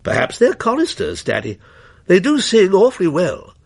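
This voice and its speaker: Man voicing an earnest, higher-pitched teenage girl. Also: huge pitch swings, moderate pace, gravelly, a little mellow, slightly rough, exaggerated voice. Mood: eager. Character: anxious, kind-hearted teenage girl, eager daughter.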